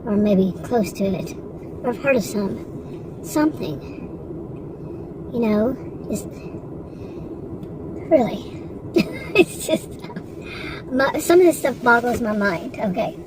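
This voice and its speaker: A woman's voice: on the high side, sing-song, slow pace, long pauses, background noise. A woman in her sixties in a rambling, frustrated casual monologue, with many restarts and asides. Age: 60s. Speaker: vlogger.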